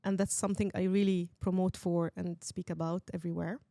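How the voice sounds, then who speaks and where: A woman's voice: on the low side, little pitch movement, a little fast, creaky, dark tone, dry recording, clean studio sound. A woman, a podcast guest who speaks earnestly and uses many fillers. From Egypt. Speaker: guest, head of engineering. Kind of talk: casual podcast conversation.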